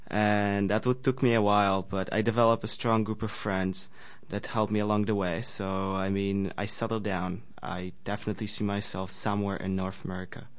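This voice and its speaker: A man's voice: fairly low pitch, little pitch movement, normal speed, hardly any pauses. A young man in his twenties from Poland with a Polish accent, a student guest talking earnestly in slightly nonstandard phrasing. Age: 20s. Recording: dull lo-fi radio recording.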